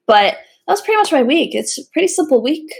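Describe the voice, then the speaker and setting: A woman's voice: high voice, very animated, fairly quick, gravelly, soft background music. A woman co-hosting a podcast, chatting casually and laughing often. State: pregnant. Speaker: podcast co-host. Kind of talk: casual chat.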